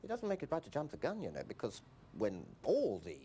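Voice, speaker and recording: A man playing a smooth, evasive government minister in a 1990s British video drama. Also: mid-range voice, wildly swooping pitch, fairly quick. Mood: placating. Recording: distant film soundtrack under crowd noise.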